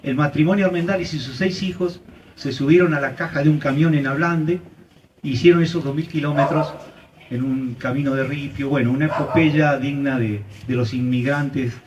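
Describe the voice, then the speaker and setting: An Argentine man tells a story at an outdoor memorial in an earnest, storytelling way, often pausing on "eh" and "este." He stands well back from the mic, so his voice comes across dull and muffled, with faint noise around him. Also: on the high side, steady tone, distant mic. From Argentina, Santa Cruz province. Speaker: speaker at a memorial ceremony. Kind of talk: commemorative public speech. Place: outdoor street ceremony.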